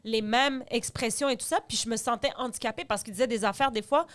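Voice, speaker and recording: Femme, originaire du Québec, ton spontané, réagit vivement, voix plutôt aiguë. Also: female voice, on the high side, fast talker, fairly bright tone. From Longueuil, Quebec. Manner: enthusiastic, chatty, reactive. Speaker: podcast co-host. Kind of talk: casual podcast chat.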